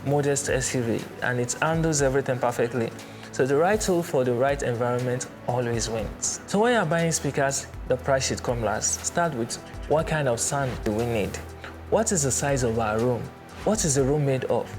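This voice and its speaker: A man presenting an explainer in a measured, didactic way, stressing his points. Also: mid-range voice. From Nigeria. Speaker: presenter, church sound instructor. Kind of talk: explainer with everyday analogies. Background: background music.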